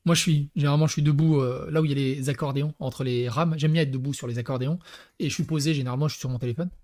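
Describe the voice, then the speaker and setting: A man's voice: fairly high pitch, fairly even intonation, fast talker, darkish tone, no room sound, clean studio sound. Rambling man telling anecdotes. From Paris, France. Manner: rambling, lots of fillers and restarts, laughs at own stories. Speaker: YouTuber. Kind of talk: casual storytelling to camera.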